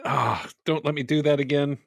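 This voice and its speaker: A man's voice: fairly high pitch, fairly even intonation, normal speed, vocal fry. A man playing a tabletop roleplaying game, talking casually and sometimes speaking as his character.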